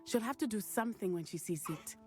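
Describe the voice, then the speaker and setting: A woman's voice: medium pitch, lively intonation, fast, mellow, faint music behind, lightly acted. A woman playing a bold, self-assured teenage witch schoolgirl in a video game, confident and playful. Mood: brisk, confident.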